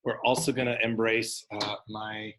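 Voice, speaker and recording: A man with a somewhat low, hoarse voice, recovering from having lost it, addresses a small room and an online audience. He speaks in a halting way, full of fillers and restarts, with a sound. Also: level tone, bright, forceful tone. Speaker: counselor leading a talk.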